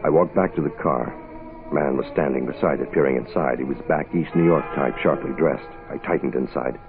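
An American man playing a cool, wry hard-boiled private investigator in a 1960s radio drama, laconic and deadpan. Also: extremely low pitch, a little fast. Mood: cool, brooding. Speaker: lead actor and narrator. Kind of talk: 1960s radio detective drama acting, first-person narration. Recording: muffled phone-like old radio, music behind.

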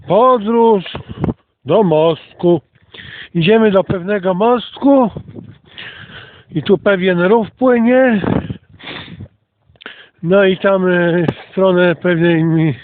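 A man with a high voice hosts a casual walking narration outdoors. He speaks slowly and haltingly, pausing to think between short remarks. The sound is thin and narrow, like a phone recording. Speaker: channel host. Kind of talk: casual narrated walk for an online channel. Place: outdoors, walking through countryside.

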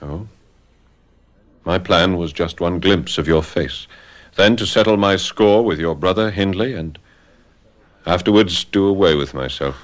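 Deep, brooding gentleman. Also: unhurried, pauses often. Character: brooding, proud, vengeful gentleman returned to his love. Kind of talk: radio drama acting.

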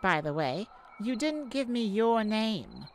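Slow-paced, playful goddess of light, voiced by a woman. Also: mid-range voice, big pitch swings, slight background noise. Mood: curious, lofty. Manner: theatrical, teasing, mock-offended, with pauses. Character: playful, haughty yet kindly goddess of light. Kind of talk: ASMR audio roleplay addressed to listener.

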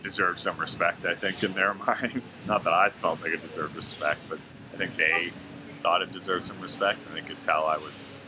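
Deep-voiced canadian guy in his 40s, chatty and easygoing, storytelling outdoors with steady noise behind him. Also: normal speed. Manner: relaxed, chatty, animated storyteller with lively asides. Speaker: adventure vlogger. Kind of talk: casual travel vlog storytelling. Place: outdoor seaside cafe and on the water in a kayak. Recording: outdoor recording with clear background noise.

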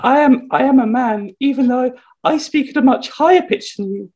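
A man voicing a prim, indignant senator with a high voice in an improvised comedy scene. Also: fairly quick, a little mellow, dull audio. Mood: prim indignation.

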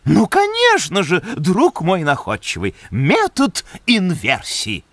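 A man's voice: high-pitched, slow, background noise, heavily acted. A man voicing a courteous, erudite middle-aged elk scientist in a children's cartoon, with ornate, formal phrasing. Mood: explaining. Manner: ornate, formal, expressive speech. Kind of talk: children's educational cartoon acting. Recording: loud music bed behind.

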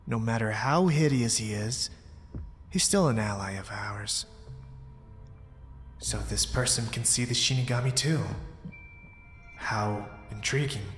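A man's voice: mid-range voice, lightly acted. A man playing a mysterious young zealot, polite, pompous and persuasive, in an ASMR roleplay drama. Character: mysterious, smooth-talking young male zealot cult recruiter. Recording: long reverb, background music. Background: music.

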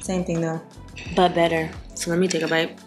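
A woman's voice: on the low side, little pitch movement, moderate pace, over music. A young woman vlogging casually to a handheld camera at home, chatty and teasing, bluntly scolding her daughter at times. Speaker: mom vlogger. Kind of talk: casual vlog chat to camera. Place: home kitchen and backyard pool. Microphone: handheld camera at arm's length.

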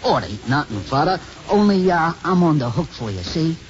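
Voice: mid-range voice, very animated, fairly quick, soft background music, close to an everyday voice. An actor playing a bossy, cocky tough boy in a 1950s radio drama. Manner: streetwise slangy tough talk. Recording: noisy muffled 1950s radio broadcast.

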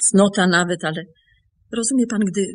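An actress playing a grave, composed woman with a secret to confess in a radio play, speaking deliberately with pauses. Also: mid-range voice, natural voice. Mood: delicate, explaining. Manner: deliberate, measured, broken by pauses.

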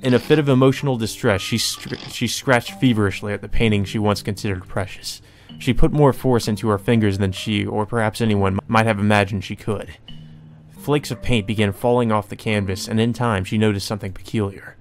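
A man's voice: fairly low pitch, steady tone, brisk. A man reading a visual novel aloud as a let's player, with informal, colloquial delivery. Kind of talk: visual novel read-aloud with casual commentary. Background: game music.

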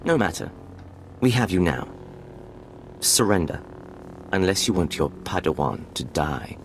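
A cold Sith villain from a video game. His deep, gravelly delivery is pompous and scornful, rising and falling theatrically. There is faint noise behind him. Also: very animated, measured pace, heavily acted. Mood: menacing, scornful. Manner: mocking, pompous. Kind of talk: video game cutscene acting.

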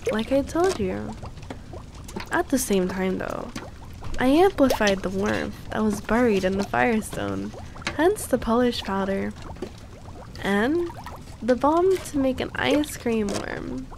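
Woman doing a cute young alchemist girl for asmr roleplay, high soft voice, gentle n teasing, liquid pouring and noise behind. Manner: soft, soothing, unhurried, playfully reassuring.

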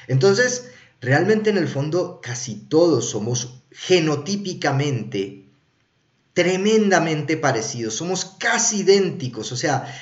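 A man, explaining things casually and conversationally as a streamer, often checking in with rhetorical tags. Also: fairly high pitch. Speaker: streamer reviewing films and TV. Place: small indoor room. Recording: roomy, echoey, slightly dull sound.

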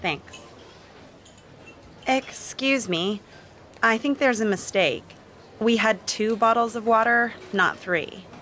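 A woman reading a scripted coursebook dialogue as a magazine colleague, clear and polite. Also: fairly high pitch, slow pace, pauses often, fairly bright tone, a little hiss or hum. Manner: clear, polite and matter-of-fact.

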